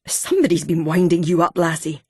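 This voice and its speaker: A woman voicing a gruff, wheezy older ex-miner man with a deep, gravelly voice, a Fife accent and a blunt, irritated manner. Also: fairly quick, dark tone, no echo, studio quality. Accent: Fife Scottish.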